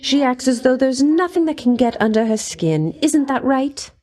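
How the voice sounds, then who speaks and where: An actress playing a flirtatious, doting goddess of love in a video game, with a coy, honeyed, theatrical delivery. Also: female voice, high voice, normal speed, darkish tone. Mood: gossipy, coy. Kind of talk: video game character voice lines.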